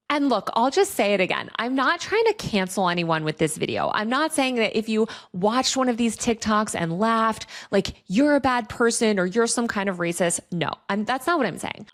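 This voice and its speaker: A woman with a medium-pitched voice presents commentary straight to her viewers. She sounds like a reporter explaining her beat, articulate and earnest. Her phrasing stays lightly conversational throughout. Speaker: tech reporter and video host. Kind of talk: video essay commentary to viewers.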